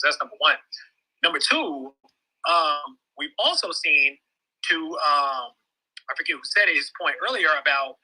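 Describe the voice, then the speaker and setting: Bright, husky, higher-voiced man co-hosting. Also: rough recording. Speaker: co-host of a live audio discussion. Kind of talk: casual, opinionated discussion.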